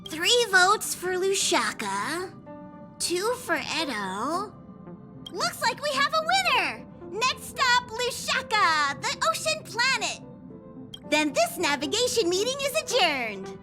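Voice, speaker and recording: A woman's voice: extremely high pitch, big pitch swings, takes it slowly, heavily acted. A woman voicing a small, cute mascot conductor in a video game, making earnest, official announcements. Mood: earnest announcing. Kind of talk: video game character dialogue. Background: music.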